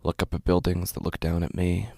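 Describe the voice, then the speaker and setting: An actor playing a weary, haunted walking-dead man in a horror audio drama, brooding and numb, with halting, deliberate phrasing. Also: low-pitched, little pitch movement, vocal fry, mellow, slightly rough, dry recording, studio quality, full character voice. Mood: brooding, resigned.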